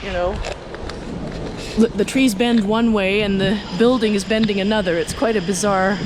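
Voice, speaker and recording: A woman hosts a casual walking tour, talking off the cuff into a handheld camera. Her voice sits at a medium pitch, and she rambles chattily with wry little asides to herself. Loud city ambience and traffic noise run heavily behind her. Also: fairly even intonation, moderate pace. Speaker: host and tour guide of a city walk video. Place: out and about at a London skyscraper and its streets. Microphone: handheld camera on a stick.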